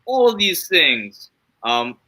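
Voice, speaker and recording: A man hosting a theater livestream, chatting in a friendly, upbeat way and reacting with lively interjections. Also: a little high, slow pace. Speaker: host. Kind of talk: casual interview chat.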